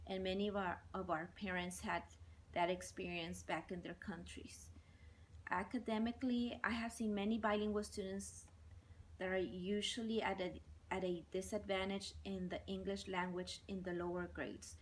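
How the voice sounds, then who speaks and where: A woman in her forties whose first language is Spanish, a bilingual teacher giving measured interview answers and listing points one by one. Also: medium pitch, flat delivery.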